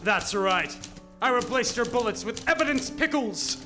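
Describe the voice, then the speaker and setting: Man playing a high-pitched, cocky hard-boiled gumshoe. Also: expressive, normal speed, echoey room. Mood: triumphant, cocky. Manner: theatrical, wisecracking old-time gumshoe slang. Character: rough, cocky hard-boiled private detective, a male, self-dramatizing sleuth. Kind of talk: parody 1940s noir radio acting, alternating narration and dialogue. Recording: live stage radio play with music.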